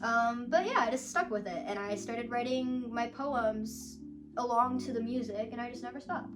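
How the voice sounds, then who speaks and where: A woman's voice: a little high, normal speed. A young woman, a songwriter, chatting casually and rambling with lots of "like" and small laughs. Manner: rambling, full of "like" and little laughs. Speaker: songwriter answering fan questions. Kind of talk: casual chat. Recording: guitar music playing under the voice. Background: guitar music.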